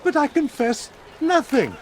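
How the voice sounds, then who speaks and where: A man's voice: very high voice, wildly swooping pitch, unhurried, slight background noise, exaggerated voice. An actor playing a frightened medieval holy man in a video game, pleading and stammering. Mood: desperate.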